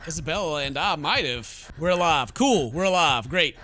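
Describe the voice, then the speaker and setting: A man's voice: fairly high pitch, expressive, moderate pace, bright, forceful tone. A man doing let's play commentary, reading game text aloud and tossing in joking, theatrical asides. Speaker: gaming YouTuber.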